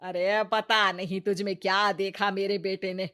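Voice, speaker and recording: A woman voicing a sharp-tongued, nagging mother-in-law in a Hindi cartoon story, theatrical and taunting. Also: medium pitch, flat delivery, unhurried. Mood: sarcastic scolding.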